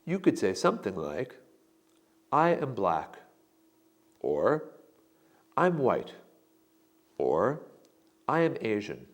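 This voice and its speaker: A man giving slow, deliberate sample answers in a scripted lesson. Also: fairly low pitch, wildly swooping pitch. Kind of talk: scripted instructional narration.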